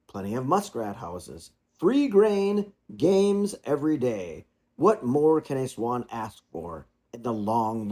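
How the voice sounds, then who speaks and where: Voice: mid-range voice, a little mellow, slightly rough. A storybook reader voicing a pompous, long-winded father swan who lectures his children. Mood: grandly.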